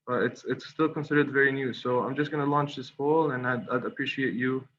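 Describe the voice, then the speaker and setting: A young man presents at an online webinar, stopping often for fillers and restarting his sentences as he goes. His voice sits at an ordinary middle pitch. The call audio is a little dull, with a faint hiss behind it. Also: fairly even intonation, brisk, a bit bright. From Qatar. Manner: hesitant, many fillers and restarts. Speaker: guest speaker.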